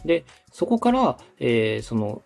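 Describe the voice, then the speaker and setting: A man's voice: mid-range voice, sing-song, measured pace, creaky, darkish tone, soft background music. A man from Osaka giving polite, methodical advice as a podcast host and radio director. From Osaka, Japan. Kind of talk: explanatory consulting talk.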